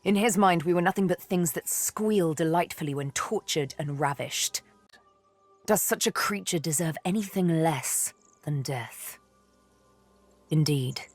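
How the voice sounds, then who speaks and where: Deep-voiced vengeful elf killer, video game, cool and sardonic, bit of music behind. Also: female voice, unhurried. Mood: cold, vengeful. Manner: poised, wry, intimate, theatrical. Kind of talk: fantasy video game acting.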